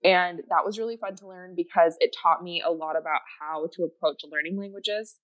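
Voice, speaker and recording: A woman's voice: lowish voice, fairly even intonation, fairly quick, lo-fi sound. A woman whose first language is Japanese, chatting enthusiastically as a podcast guest with lots of fillers. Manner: rambling, enthusiastic, many fillers and restarts. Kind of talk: casual chat.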